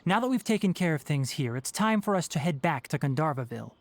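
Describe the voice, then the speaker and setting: A young man playing a composed, scholarly forest ranger in a video game, articulate, measured and matter-of-fact. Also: fairly high pitch, sing-song, dry recording. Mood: calm, grave.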